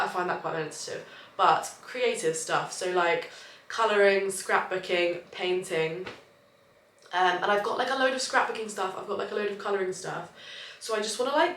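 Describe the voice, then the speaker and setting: A woman's voice: mid-range voice, fairly bright tone, a little hiss or hum. A young woman vlogging casually about her day, rambling and bubbly, her voice nasal from allergies. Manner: bubbly, rambling, self-deprecating. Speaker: vlogger and personal trainer. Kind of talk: chatty daily vlog. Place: at home in her flat. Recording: roomy, distant home audio with faint ambience.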